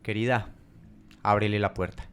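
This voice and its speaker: A man's voice: fairly low pitch, fairly even intonation, normal speed, a bit bright, clear, resonant tone, lightly acted. A man playing a stern, impatient middle-aged father in an amateur radio play, with rain-like hiss. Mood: concerned.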